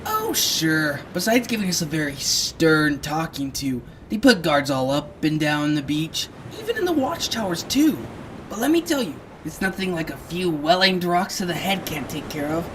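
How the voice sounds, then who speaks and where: A scrappy young adventurer, acted by a woman, chatters in a breezy audio drama style. The voice is fairly low for a female and very animated, with a faint rumble behind. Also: normal speed. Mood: breezy, boastful.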